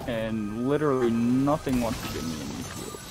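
A man's voice: medium pitch, very animated, normal speed, music in the background. A man talking casually and in slang with friends while gaming. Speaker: gamer in squad voice chat.

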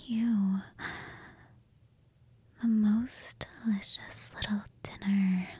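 A woman voicing a cold, hungry female vampire, speaking in a slow, breathy whisper right at the microphone. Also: mid-range voice, steady tone, a little mellow, no room sound, full character voice. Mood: seductive. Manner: slow, intimate, purring murmurs. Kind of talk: whispered ASMR roleplay. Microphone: close mic.

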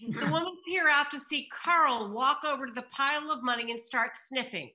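A woman's voice: high voice, brisk, bright, forceful tone, rough recording. A middle-aged woman hosting a table read over video call, reading stage directions and addressing viewers. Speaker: table read narrator and host.

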